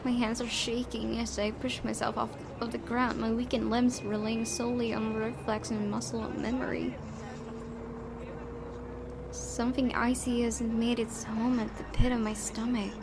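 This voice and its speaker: A woman's voice: a little high, level tone, moderate pace. A woman hosting a gaming video, reading a visual novel aloud and commenting casually. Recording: clearly noisy home recording.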